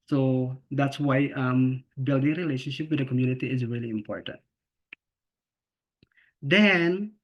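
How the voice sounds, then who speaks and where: A man's voice: medium pitch, fairly bright tone. A man from the Philippines speaks with a Filipino accent. He gives an earnest, informal presentation to fellow teachers, restarting words and checking in often. His mid-range voice comes through slightly dull, lo-fi video call audio. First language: not English. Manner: earnest, repeats and restarts words, asks "okay?" and "right?" often. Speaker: special education teacher presenting to international teachers.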